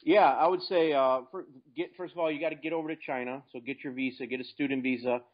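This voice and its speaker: A man's voice: medium pitch, fairly even intonation, quick pace. An American man talking casually and plainly as the guest in an investing interview. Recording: dull lo-fi internet call.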